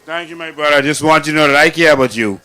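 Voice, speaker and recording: A man's voice: on the high side, very fast, bright, forceful tone. A man hosting a live call-in show, giving brief upbeat replies. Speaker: host.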